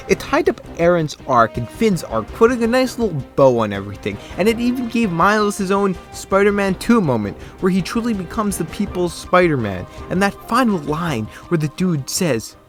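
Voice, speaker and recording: Young male reviewer, congested and stuffy, eager opinions, slightly high voice, soft music bed. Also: very animated, normal speed. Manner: enthusiastic, opinionated. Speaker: game reviewer. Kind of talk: scripted video review narration.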